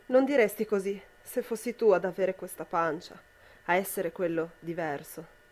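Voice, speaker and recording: A woman's voice: lowish voice, moderate pace, a little mellow, natural voice. A young woman playing an anxious, reproachful woman confronting her partner in an amateur radio drama. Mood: troubled, reproachful. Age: young adult.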